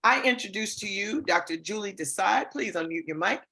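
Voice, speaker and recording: A woman's voice: medium pitch, expressive, moderate pace, pushed and bright. A woman hosting an online awards ceremony over a video call, reading introductions effusively and stumbling over some words. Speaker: awards show host.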